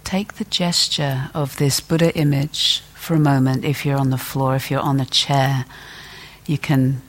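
A woman teacher leading a guided meditation in a gentle, deliberate way, leaving long pauses between phrases. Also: rumbling low, steady tone.

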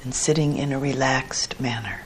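A woman teaching a guided meditation in a gentle, measured manner with pauses between short phrases and a low, husky voice. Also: poor audio.

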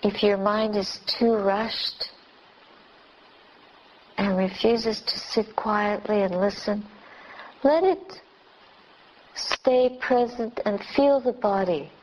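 A woman meditation teacher leading a guided meditation in short, unhurried phrases with long pauses between them. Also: mid-range voice. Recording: dull lo-fi recording with clear background noise.

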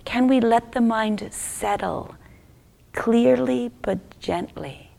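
A woman leading a guided meditation as a teacher, speaking gently in short unhurried phrases that trail off softly into near-whisper. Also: on the high side, creaky.

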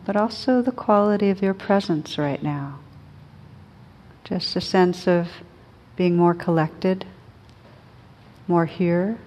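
Slow, low-pitched woman guiding meditation. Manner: gentle, unhurried, soothing instruction with long pauses. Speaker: meditation teacher. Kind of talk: guided meditation.

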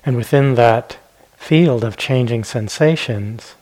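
Guy leading a meditation, kinda raspy voice, gentle and slow with long gaps, medium pitch. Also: rough recording. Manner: gentle, unhurried, with long pauses. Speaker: meditation teacher. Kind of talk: guided meditation instruction.

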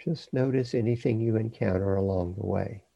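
A man teaching a guided body scan meditation, giving measured instructions in short phrases with pauses between them. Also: lowish voice, creaky, dark tone, dry recording, dull audio.

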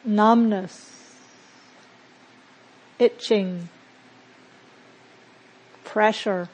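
A woman meditation teacher leading a guided mindfulness practice in a gentle, unhurried manner with long pauses between phrases. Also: medium pitch, fairly even intonation, rough recording.

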